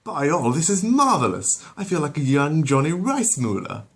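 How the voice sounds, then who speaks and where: A haughty little cartoon baby acted out by a man, pitched well above the usual male register. He is pompous and theatrical, a tiny schemer who talks like a grand gentleman. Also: very animated, moderate pace, husky, full character voice. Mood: delighted.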